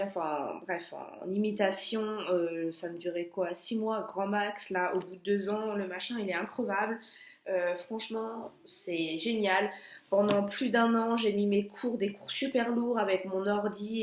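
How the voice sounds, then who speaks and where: A woman's voice: medium pitch, roomy sound. Chatty young woman with a stuffy nose. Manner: chatty, many hesitations and restarts. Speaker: vlogger. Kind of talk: casual chat.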